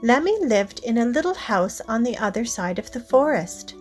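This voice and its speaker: A woman narrating a children's storybook read-aloud in a deliberate way, addressing young listeners directly. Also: on the high side, expressive, faint music behind.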